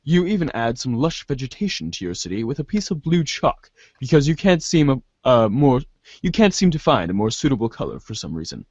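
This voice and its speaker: A man's voice: mid-range voice, sing-song, fairly quick, a little mellow, dry recording, muffled recording. A man narrating a webcomic aloud in a theatrical way, stumbling and restarting as he reads. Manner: theatrical, often stumbles and restarts while reading. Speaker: narrator in a fan readers' theater show. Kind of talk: dramatic read-aloud of a webcomic.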